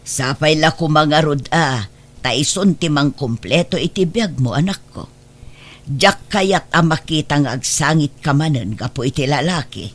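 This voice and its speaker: A woman's voice: deep voice, a little slow. A woman playing a worried, protective older mother in a radio drama, with an admonishing, motherly delivery. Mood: concerned.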